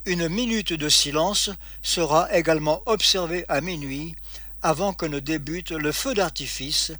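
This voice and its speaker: A man filing a scripted radio news report as a correspondent, reading slowly and deliberately. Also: a little high, sharp tone, no echo.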